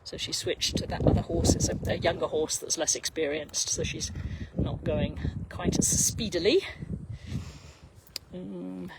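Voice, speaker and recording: A man with a fairly high voice and plenty of creak chats off the cuff as a live race commentator. He rambles and often breaks off mid-thought. The recording is outdoors and very noisy. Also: fairly even intonation, measured pace. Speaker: live stream commentator at a horse endurance race. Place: outdoors beside a horse trail.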